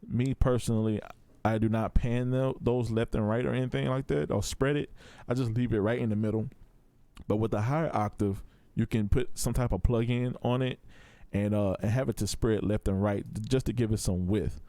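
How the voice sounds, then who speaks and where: A man's voice: on the low side, steady tone, normal speed, dark tone, no echo. A man giving a casual, step-by-step tutorial in a laid-back, informal way. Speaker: audio production tutor.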